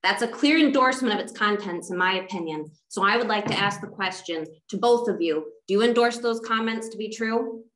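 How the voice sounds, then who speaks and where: A woman's voice: mid-range voice, brisk, hardly any pauses, fairly bright tone. A woman at a town board meeting, upset and pressing board members with pointed questions. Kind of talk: heated public comment. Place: town hall meeting room. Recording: echoey meeting room.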